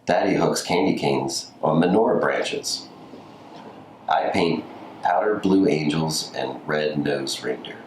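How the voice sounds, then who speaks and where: A man with a notably deep voice reads a storybook aloud. The recording is roomy and echoey, with faint noise behind him. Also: slow pace. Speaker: community story reader. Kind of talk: scripted storybook reading.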